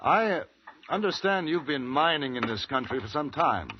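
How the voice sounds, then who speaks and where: An actor playing a polite, reserved gentleman traveller in a 1950s radio western, speaking in a courteous, hesitant, understated way. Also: on the high side, animated, normal speed, close to an everyday voice. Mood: politely curious. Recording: muffled, hissy old radio recording.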